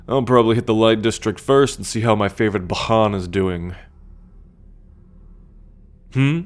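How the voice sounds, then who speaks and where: A man playing a cocky, teasing male alien scout warrior in an ASMR roleplay, talking straight to the listener with a drawl. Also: close to an everyday voice. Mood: relaxed, wry. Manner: teasing, slow and drawling, with low chuckles.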